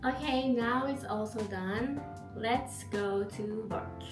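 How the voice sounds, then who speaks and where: A woman's voice: a little high, slow pace, echoey room, music in the background. A young woman with English as a second language, vlogging casually about her day from her apartment. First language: not English. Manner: chatty, upbeat, self-reflective. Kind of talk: casual vlog narration. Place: home apartment.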